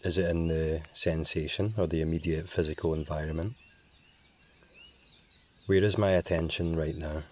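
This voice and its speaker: A man guiding a meditation in a calm, measured way with long pauses. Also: very deep voice, a little hiss or hum. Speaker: meditation guide. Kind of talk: slow guided meditation.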